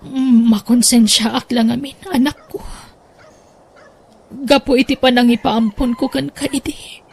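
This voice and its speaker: A woman's voice: on the high side, steady tone, unhurried, vocal fry, a little mellow. A woman with an Ilocano accent playing a sorrowful, apologetic mother in a radio drama, emotional and pleading. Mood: tearful, tender. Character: sorrowful, apologetic mother confessing about her child.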